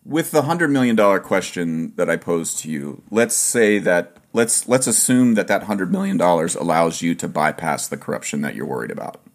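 A man hosting a casual interview, a fellow musician, speaking with halting restarts and brief backchannels. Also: low-pitched, expressive. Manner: conversational, short backchannels, halting starts. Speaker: interviewer, fellow steelpan player.

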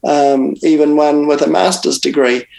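An older man, a Bible translator, speaking as an interview guest and recounting events in an unhurried way with frequent restarts and pauses. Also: a little high, steady tone, creaky, a little mellow, poor audio. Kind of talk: reflective interview storytelling.

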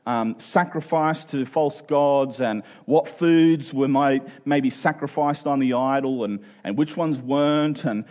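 A man's voice: medium pitch, measured pace, few pauses, dull audio. A man preaching a sermon in plain conversational words, earnest, posing rhetorical questions and restating phrases for emphasis.

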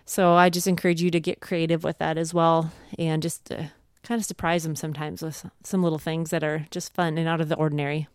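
Female podcast co-host, smooth lowish voice, encouraging, conversational advice in a close dry recording. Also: flat delivery, fairly quick, a little mellow, dry recording. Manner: encouraging, anecdotal. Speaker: podcast co-host, homeschooling mother.

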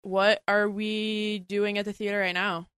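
A woman co-hosting a casual podcast chat, playful and quick with jokes. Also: mid-range voice, flat delivery, fairly bright tone, smooth, no room sound, clean studio sound. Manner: playful, jokey, quick asides. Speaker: podcast co-host.

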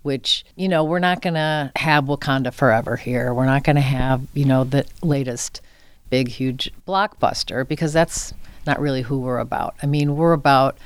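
Older woman, smooth clear voice, dry recording. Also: rumbling low, moderate pace, dry recording. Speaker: film center executive director, interview guest. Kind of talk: conversational interview.

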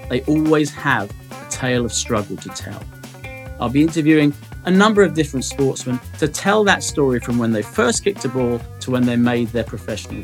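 A man hosting a podcast, reading a scripted intro and asking direct, probing interview questions. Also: medium pitch.

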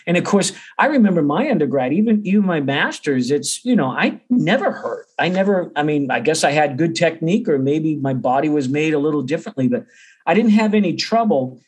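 A man's voice: a little high, expressive, fairly quick. Middle-aged American man chatting casually. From Boston area, United States. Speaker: percussion teacher, interview guest. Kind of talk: casual interview conversation.

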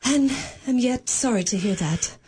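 A woman playing a tender mother, breathy and fairly high, radio play. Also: animated, measured pace, a little mellow, exaggerated voice. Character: Belle as a kind grown wife and mother. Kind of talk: radio drama acting.

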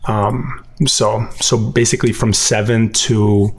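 A man raised in Connecticut answering interview questions about his family, halting and filled with uh and um. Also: lowish voice, little pitch movement, husky. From Connecticut, USA. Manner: halting, with many fillers and restarts. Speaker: interview guest. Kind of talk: casual interview recollection.

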